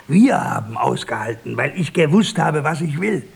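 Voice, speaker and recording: A stubborn old man in his eighties in a vintage radio play. His voice sits fairly high and is heavily cracked with creak. He declaims with cranky defiance. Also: very animated, moderate pace, exaggerated voice. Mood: defiant declaiming. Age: 80s. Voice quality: husky, gravelly. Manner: declamatory, swinging from shouts to whispers. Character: cranky, defiant eighty-year-old husband, drunken dreamer. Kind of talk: 1960s German radio drama acting.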